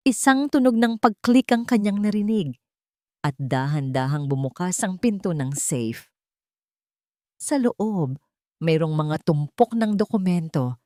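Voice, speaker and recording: A woman with a low-pitched voice narrates a dramatic love story from a script. The sound is dry, with hardly any room. Her phrasing is deliberate and emotive, broken into short, weighted pieces. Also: a little mellow, dry recording.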